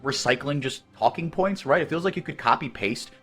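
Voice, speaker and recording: A man calling esports play-by-play, excitable and rising sharply when fights break out. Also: medium pitch, fairly quick, breathy edge, faint music behind.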